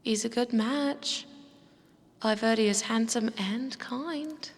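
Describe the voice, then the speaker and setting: A woman playing a devoted, naive young lowborn handmaiden in a fantasy audio drama, earnest and soothing. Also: slow, in a large hall. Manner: soothing, earnest, plain-spoken.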